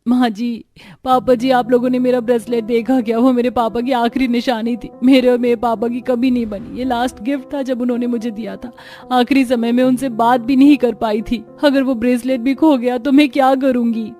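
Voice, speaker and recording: A woman voicing a jealous, sharp-tongued young sister-in-law in a cartoon moral story. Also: mid-range voice, brisk, faint music behind, close to an everyday voice. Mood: tearful.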